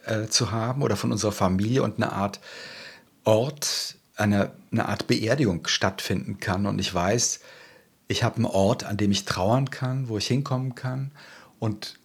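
A man answering interview questions in a thoughtful way, often pausing and searching for words. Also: fairly low pitch, vocal fry, husky. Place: Berlin.

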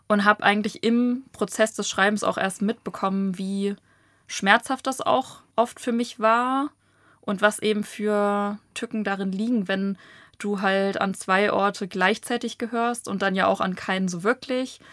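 A young woman from Germany, a novelist guest, talking thoughtfully in an interview with searching pauses and fillers. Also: mid-range voice, a bit bright, no echo, studio quality. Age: young adult. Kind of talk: reflective interview talk.

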